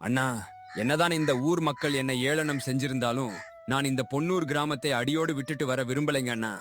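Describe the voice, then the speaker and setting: A man's voice: medium pitch, a little fast, fairly bright tone, slight background noise, lightly acted. A man playing an honest, humble, forgiving orphan grown up, in a dramatised moral story. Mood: earnest.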